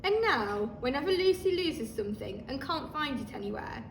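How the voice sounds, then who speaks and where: A woman reading a children's story aloud like a teacher, expressive and talking listeners through the pictures. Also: sits high, moderate pace. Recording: roomy, distant microphone, faint noise.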